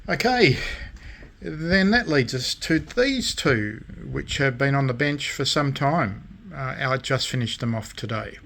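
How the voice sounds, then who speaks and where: A man presenting his hobby restorations in a casual show-and-tell, speaking haltingly with frequent pauses between short phrases. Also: medium pitch, expressive, vocal fry, a bit bright, a little hiss or hum. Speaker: radio restoration hobbyist and vlogger.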